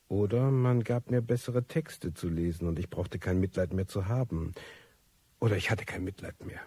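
An actor playing a disillusioned, reclusive manuscript reader, narrating a radio play in a brooding, ironic way with a husky, gravelly voice. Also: male voice, on the low side, darkish tone, dry recording, full character voice. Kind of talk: scripted first-person radio play narration.

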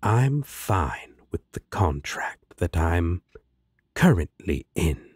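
A man's voice: deep voice, sing-song, slow, darkish tone, breathy edge, no room sound, full character voice. A man playing a sly, laid-back demon roommate in an audio drama, teasing and sardonic with a coaxing drawl. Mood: amused, laid-back. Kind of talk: roleplay audio drama acting.

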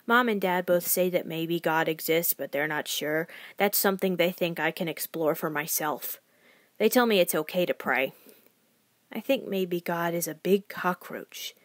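A woman's voice: mid-range voice, no room sound, lightly acted. A woman plays a sensitive young child telling a story in the first person. The delivery is naive, earnest and emotive, confiding in the listener. The sound is dry and close. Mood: hushed, pondering.

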